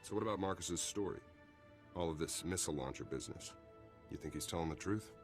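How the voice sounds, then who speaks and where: Game army captain male actor, very low voice, casual, wry and loyal, music in the background. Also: quick pace, lightly acted. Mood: relaxed, confiding. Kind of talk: video game cutscene acting. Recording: game soundtrack music behind.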